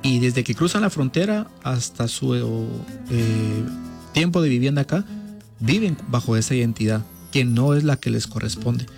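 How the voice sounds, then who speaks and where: A man's voice: mid-range voice, expressive, unhurried, vocal fry. A man, speaking as a consulate official in a radio interview, explaining things formally and patiently. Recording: faint music behind.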